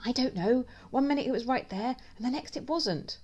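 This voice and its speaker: A woman voicing a shrill, easily frightened lady of the house in a storybook, exasperated and stammering. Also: fairly quick, darkish tone. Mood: shaken.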